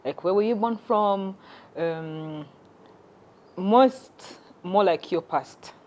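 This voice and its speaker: A woman's voice: medium pitch, takes it slowly, a little mellow, muffled recording. A young woman hosting an interview, asking rambling, chatty questions. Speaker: host. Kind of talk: casual interview.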